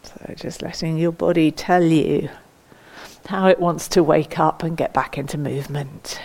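Female meditation and movement teacher, low voice, gentle and unhurried guidance, leaves space between phrases. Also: fairly even intonation, gravelly. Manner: gentle, unhurried, inviting, with pauses. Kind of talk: guided mindful movement instruction.

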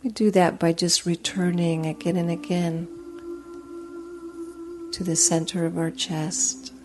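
A woman leading a guided meditation as a teacher, speaking in a soothing, gentle, unhurried way with long pauses. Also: lowish voice, little pitch movement, faint music behind.

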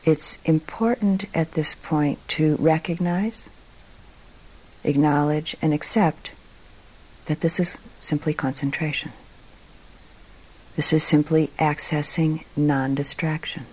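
Slow, low-voiced woman guiding meditation. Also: slightly rough, low-quality recording. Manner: calm, deliberate, with long pauses between short phrases. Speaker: meditation teacher. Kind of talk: guided meditation talk.

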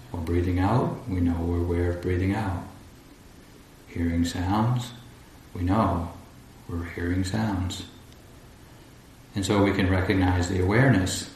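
A man guiding a meditation as a teacher, speaking calmly and deliberately in short phrases with long pauses. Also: deep voice. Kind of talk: guided meditation instruction. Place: large echoey indoor room at a retreat. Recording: roomy echo, faint background noise.

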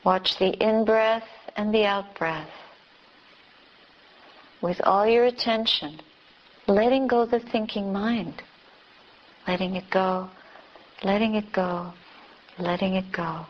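A woman teacher leading a beginner's guided meditation, giving calm, gentle instructions with long pauses. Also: medium pitch, dull audio. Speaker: Buddhist nun teaching meditation.

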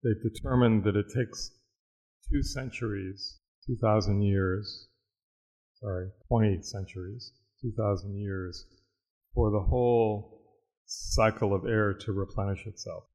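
A man teaching a guided meditation, speaking in a measured, reflective way with long pauses. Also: on the low side, fairly even intonation, a little mellow, poor audio.

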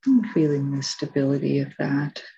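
A woman meditation teacher giving guided instructions in a soft, gentle, unhurried way. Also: extremely low pitch, vocal fry, slightly rough. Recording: dull, muffled lo-fi recording.

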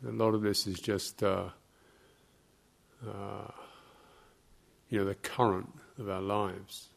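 A man giving guided meditation instruction as a teacher, speaking in short, measured phrases with long, halting pauses. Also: lowish voice, level tone, vocal fry.

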